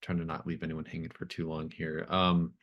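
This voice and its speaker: A man's voice: a bass voice, completely flat delivery, fairly quick, dull audio. A man narrating as game master of a tabletop roleplaying game, improvising in a conversational, hesitant way as he thinks aloud.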